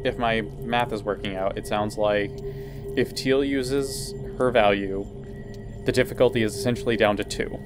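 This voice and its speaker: A man's voice: lowish voice, steady tone, normal speed, music in the background. A man playing a tabletop game, asking questions to confirm rules and adding up successes aloud. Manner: methodical, tallying numbers, asking to confirm. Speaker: player.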